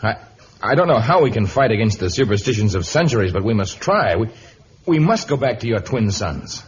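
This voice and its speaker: An actor playing a commanding, protective jungle hero in a vintage radio serial, with formal, earnest diction. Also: male voice, fairly low pitch, sing-song, a little fast. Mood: earnest resolve. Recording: dull, lightly noisy old radio recording.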